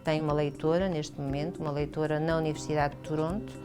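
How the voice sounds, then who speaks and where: A woman with a European Portuguese accent answers in an interview, in a measured, hesitant way. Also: low-pitched, little pitch movement. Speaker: language institute coordinator being interviewed. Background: background music.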